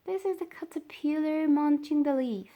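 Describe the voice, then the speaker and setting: A woman reading a children's story aloud as storyteller, with deliberate, dramatised phrasing. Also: high voice, warm tone.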